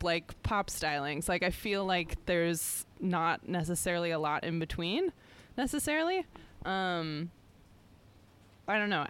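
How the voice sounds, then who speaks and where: A woman hosting a podcast, asking questions in a casual, filler-heavy way with quick giggles. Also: fairly low pitch, a bit bright, clean tone. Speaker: podcast host.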